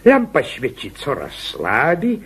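A man's voice: medium pitch, huge pitch swings, slow, full character voice. A man acting in a radio comedy as a jealous, overprotective husband, stammering, fussy, pompous and self-righteous. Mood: boastful storytelling.

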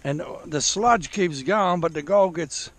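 A man's voice: fairly high pitch, measured pace, a bit bright. Rambling man on a bush walk. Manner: rambling, self-correcting, swears casually. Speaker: prospecting vlogger. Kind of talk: rambling outdoor walkthrough. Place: bush forest outdoors, old diggings. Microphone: head-mounted action camera.

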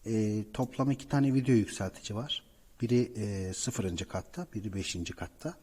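Male repair technician, lowish register, informal explanatory walkthrough, recorded in a reverberant building corridor. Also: moderate pace, warm tone. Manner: explanatory, addressing viewers directly. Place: indoors, apartment building hallway by cable shafts. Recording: reverberant stairwell, clear background noise.

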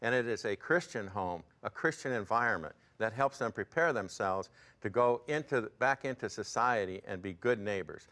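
A man's voice: fairly low pitch, lively intonation, moderate pace, fairly bright tone. Plain-spoken man in his sixties. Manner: plain-spoken storyteller, fervent. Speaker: ministry board member, guest. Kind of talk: interview testimony. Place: television studio set, indoors.

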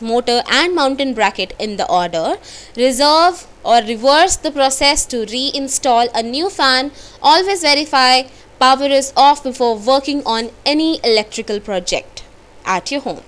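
A woman's voice: high voice, a bit bright. A woman hosting a how-to channel, reading step-by-step instructions over slides with small slips and trailing line ends. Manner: reads steps aloud with small slips, falls off at line ends. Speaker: channel host.

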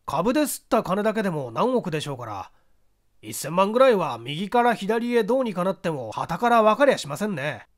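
Forthright businessman witness in an audiobook, played by a male reader: high voice, animated, conversational. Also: a little fast. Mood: matter-of-fact. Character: male business associate giving forthright, irritated testimony to investigators.

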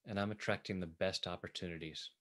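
A man with a low-pitched voice leads guided affirmations as a coach. He speaks deliberately, setting out one short phrase at a time so that each can be repeated. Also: steady tone, a bit bright. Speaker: tapping coach leading affirmations.

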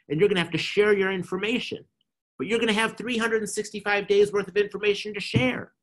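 A man's voice: on the high side, slightly rough, low-quality recording. A middle-aged man with a nasal voice, giving earnest, coaching advice as an interview guest over a video call. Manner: earnest, coaching, repeats phrases. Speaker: interview guest, marketing consultant. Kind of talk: explaining advice in an online talk. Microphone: video call webcam.

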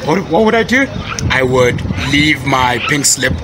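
A man's voice: mid-range voice, expressive, unhurried, very noisy. A young man with a South African accent answering street quiz questions, joking and laughing, into a handheld mic outdoors. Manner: joking, laughs often, teasing. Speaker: quiz participant. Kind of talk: casual street chat.

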